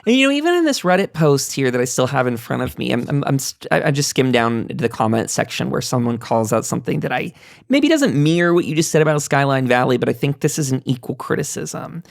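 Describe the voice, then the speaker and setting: Rambling male podcast host, slightly creaky, dry sound. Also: mid-range voice, big pitch swings, quick pace, no echo. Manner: rambling, self-interrupting, hedging aloud. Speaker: podcast host moderating a roundtable. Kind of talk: casual panel discussion about gaming.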